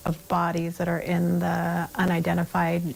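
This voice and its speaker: An American woman answers in an unscripted interview. Her voice is on the low side and fairly flat, with a noticeable fry. Faint ambient noise sits behind it. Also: moderate pace, poor audio.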